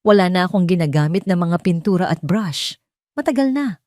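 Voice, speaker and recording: A woman's voice: on the low side, expressive, moderate pace, warm tone, dry recording, clean studio sound, lightly acted. A woman voicing an anxious, tender woman artist in an audio story, hesitant and guarded.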